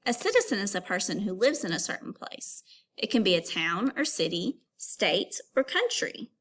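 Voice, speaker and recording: A woman teacher reading an informational text aloud to young children, pausing to give instructions and put questions to them. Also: a little high, a bit bright.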